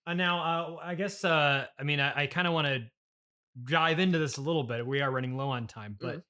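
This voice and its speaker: A man hosting an improvised comedic interview podcast, speaking in a halting, stammering way. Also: a little high, sharp tone, clear, resonant tone. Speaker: podcast host.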